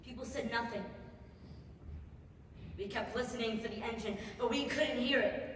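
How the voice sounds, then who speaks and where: Distraught young refugee survivor played by a woman, high-voiced and halting, stage monologue in a big echoing hall. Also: fairly bright tone, close to an everyday voice. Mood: haunted, subdued. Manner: halting, emotive, with heavy pauses and repetitions. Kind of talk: dramatic theatrical monologue. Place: large reverberant hall or stage. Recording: very echoey hall, distant mic, noisy.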